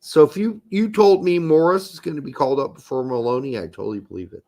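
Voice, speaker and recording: A man co-hosting a soccer podcast, emphatic and argumentative, hoarse from losing his voice. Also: a little high, quick pace, darkish tone. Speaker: podcast co-host. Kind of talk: casual soccer talk.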